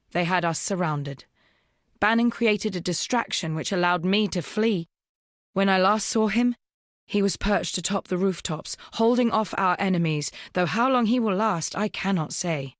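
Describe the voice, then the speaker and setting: A woman playing a solemn, proud rebel survivor in a dark fantasy game, speaking formally and with measured, archaic phrasing. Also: lowish voice, no echo, clean studio sound, close to an everyday voice. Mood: grave, fond. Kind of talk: scripted video game character dialogue.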